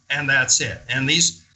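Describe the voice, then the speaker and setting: Forceful, bright-voiced older man, enthusiastic talker. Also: medium pitch. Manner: enthusiastic, emphatic. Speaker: interview guest. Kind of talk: animated conversational storytelling in a radio interview.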